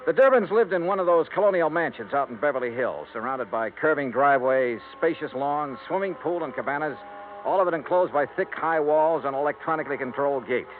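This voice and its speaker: A hissy, tinny fifties radio recording carries a man acting a hard-boiled insurance investigator. He is blunt and wry, and his delivery swings widely in pitch as he narrates or presses with questions. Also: expressive, a little fast, natural voice. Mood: brisk, narrating.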